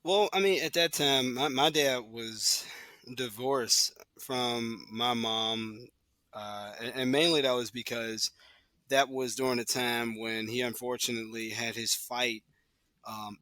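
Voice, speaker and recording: A man talking as a podcast guest, reflective and soft-spoken, pausing and restarting as he remembers. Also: mid-range voice, fairly even intonation, dry recording. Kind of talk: casual conversation.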